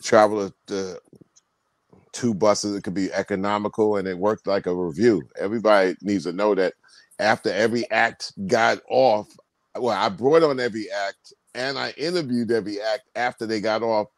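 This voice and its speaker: Man co-host, low voice, dry close sound, rambling video call talk. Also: moderate pace, no echo. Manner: rambling, animated, restarts often. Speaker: tour promoter and co-host. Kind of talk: casual video call chat.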